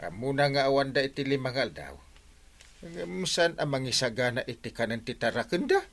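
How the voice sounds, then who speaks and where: Guy doing comic village captain, ilocano filipino accent, pitch swooping all over, bright punchy voice, excitable. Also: moderate pace. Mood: concerned. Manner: swooping exclamations, stammering openers. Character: excitable comic village captain, nosy, good-natured man. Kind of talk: Ilocano radio comedy drama acting.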